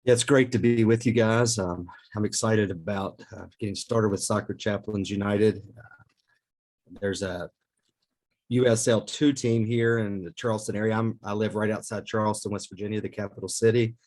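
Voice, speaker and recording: A man in his forties, a pastor, introducing himself on a podcast in an earnest, measured way. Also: fairly low pitch, steady tone, a little mellow. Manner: earnest, measured, with many fillers.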